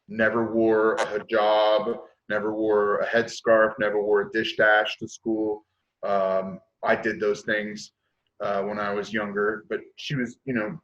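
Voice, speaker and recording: A man's voice: lowish voice, fairly bright tone. A man in his late thirties, a podcast guest, speaking frankly and reflectively with many hesitations. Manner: reflective, lots of uh fillers, frank. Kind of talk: casual chat. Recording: dull lo-fi mic.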